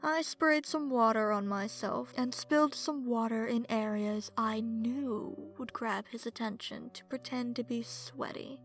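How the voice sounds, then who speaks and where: A woman playing a seductive, smug and predatory vampire in an ASMR horror story, speaking in a sultry, breathy way that slips into whispers. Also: on the high side, unhurried, hardly any pauses, soft background music. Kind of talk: ASMR horror story narration in first person.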